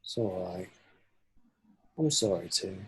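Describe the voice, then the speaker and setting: A man's voice: low voice, expressive, slow pace, breathy edge, dry recording, heavily acted. A man playing a laid-back, fading young slacker in a table read, laconic and unbothered though wounded. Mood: resigned.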